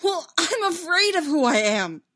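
A woman voicing an anguished, self-doubting young male Jedi in a story, impassioned and halting. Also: medium pitch, a bit bright. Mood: frantic, terrified. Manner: impassioned, halting, insistent repetition.

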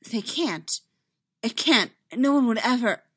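A woman's voice: on the high side, very animated, unhurried, no echo, studio quality. A woman voicing a fearful young female Jedi who pleads in an earnest, tense way, in an audiobook drama. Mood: shocked. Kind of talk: dramatic audiobook character dialogue.

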